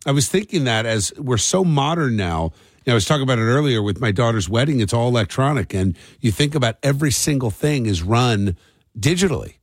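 A man's voice: medium pitch, lively intonation, fairly quick, slightly rough, no echo. A middle-aged American man hosting a morning radio show, chatting casually and often asking questions on a close studio microphone. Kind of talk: casual talk radio chat and call-in. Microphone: close studio broadcast microphone.

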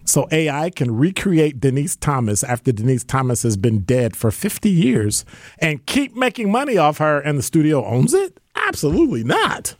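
A man's voice: a little high, big pitch swings, moderate pace, no echo, studio quality. A man co-hosting a radio talk show, easygoing and opinionated in casual banter. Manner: easygoing, opinionated, laughs often. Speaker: radio co-host. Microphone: studio microphone.

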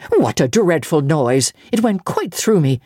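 A woman voicing a sour, scornful maidservant who speaks low and with disgust. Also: big pitch swings, a little slow, dark tone, husky, no room sound, clean studio sound, exaggerated voice. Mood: shocked.

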